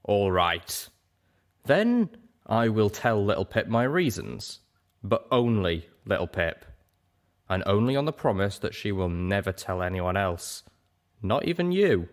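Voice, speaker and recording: A man's voice: lowish voice, big pitch swings, a little slow. Man voicing a grave, guarded old dragon. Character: huge, old, lonely, guarded male dragon. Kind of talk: audiobook character voice.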